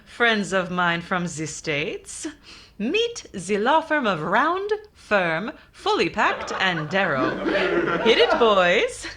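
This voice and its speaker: A woman's voice: medium pitch, sing-song, slow pace, a bit bright, slight background noise. A woman playing a coquettish, wry femme fatale in an old-time radio comedy. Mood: confident. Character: deadpan, coquettish married femme fatale recounting her tale.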